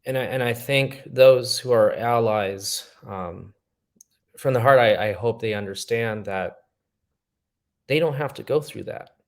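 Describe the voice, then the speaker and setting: A man's voice: mid-range voice, measured pace. A man speaking as a podcast guest in casual chat, earnest and reflective with an optimistic tone.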